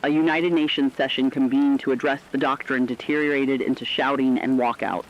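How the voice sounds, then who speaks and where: A serious male correspondent acted by a man files a fictional emergency radio report in a medium-pitched voice. His phrasing is formal, clipped and emphatic. The sound is slightly dull and lo-fi. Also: fairly even intonation, fairly quick, close to an everyday voice. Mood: serious, urgent.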